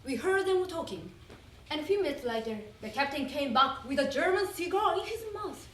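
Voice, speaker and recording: A woman delivers a dramatic recitation with earnest emphasis on her phrases. Her voice sits high. The recording has a clear room echo and a little background noise. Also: animated, moderate pace, a bit bright. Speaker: readers theater performer. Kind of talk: dramatic storytelling recitation of a letter.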